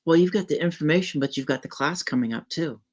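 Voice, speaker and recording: A woman from the US West Coast, hosting a podcast and chatting in a relaxed, conversational way. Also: low-pitched, fast, husky. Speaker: podcast host. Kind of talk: casual interview chat.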